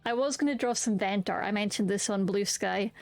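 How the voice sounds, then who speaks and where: A woman's voice: mid-range voice, a little fast, soft background music. A young woman streaming a game, chatting candidly and swearing freely, often restarting her sentences as she rambles. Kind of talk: casual chat while streaming a game.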